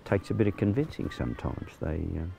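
A man in his sixties with an Australian accent, a farm manager talking plainly outdoors, with many pauses and fillers. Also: on the low side, very animated, gravelly, dark tone, slight background noise. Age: 60s. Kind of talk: on-location interview. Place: outdoors on a rural farm paddock.

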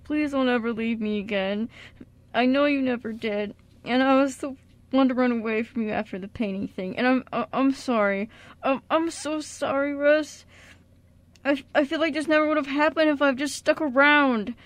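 A woman's voice: high-pitched, moderate pace, dry recording, close to an everyday voice. A woman playing an anxious, tender man in an amateur radio drama, with hesitant, stammering speech. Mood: pleading. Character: anxious, guilt-ridden, tender male friend.

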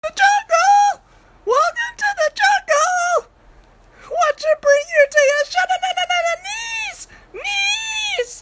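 Squeaky high voice that keeps cracking, noisy phone video. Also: female voice, big pitch swings, normal speed, a bit bright, exaggerated voice. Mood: whiny. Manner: squeaky, cracking, breaks into singing. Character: squeaky high-pitched adult with a strained voice.

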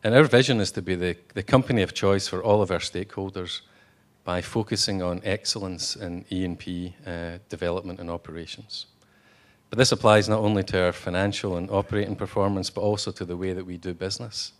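A man giving a conference speech as a company executive, halting, with many fillers and restarts. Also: low voice, fairly even intonation. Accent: non-Texan. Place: hotel conference room.

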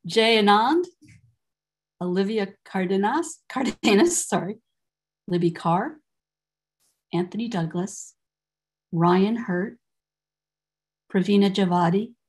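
Older woman, husky, giving formal speech. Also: mid-range voice, animated, slow pace, pauses often. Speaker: university program director presiding over a ceremony. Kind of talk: formal prepared commencement address. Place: indoors on a video call.

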